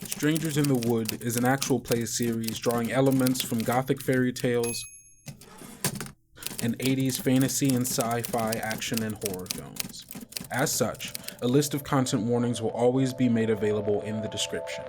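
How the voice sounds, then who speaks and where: A man with a medium-pitched voice hosts an actual-play podcast. He narrates like a game master, casual and improvised, talking straight to listeners and players. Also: normal speed, background noise.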